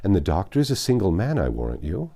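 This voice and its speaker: A man's voice: sits low, wildly swooping pitch, fast, warm tone, full character voice. A man voicing a hearty, nosy older matron who teases and exclaims, in a reading of a classic novel. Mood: teasing. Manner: exclamatory, teasing.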